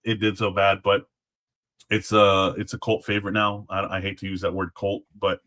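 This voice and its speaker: Low-voiced man explaining history casually. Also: little pitch movement, normal speed, sharp tone. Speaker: guest, former Alamo guard.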